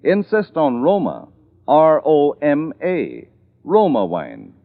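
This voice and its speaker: A man's voice: on the high side, wildly swooping pitch, slow pace, gravelly. An American man working as a radio commercial announcer, reading an advertisement with 1940s salesmanship. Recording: muffled narrow vintage radio broadcast.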